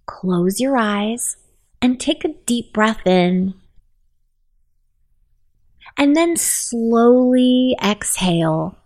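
Slow, soothing woman guiding meditation. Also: mid-range voice. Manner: soft, soothing and unhurried. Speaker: meditation guide.

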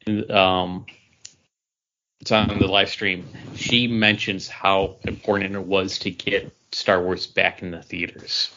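Eager man with a low voice, lo-fi. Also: flat delivery, takes it slowly, pushed and bright, slight background noise. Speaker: podcast co-host. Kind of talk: casual geek news discussion.

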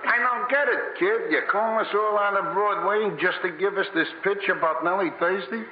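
An actor playing a puzzled, blunt, slangy small-time Broadway crony with an American accent in 1950s radio comedy style. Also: male voice, high-pitched, moderate pace, lightly acted. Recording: muffled, noisy, roomy old radio.

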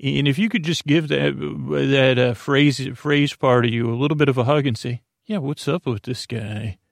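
A man hosting a sleep podcast in a meandering, hesitant monologue that keeps trailing off into tangents, with a creaky voice. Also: mid-range voice, fairly even intonation, normal speed, dry recording, studio quality. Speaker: podcast host. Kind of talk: rambling bedtime monologue to help listeners sleep.

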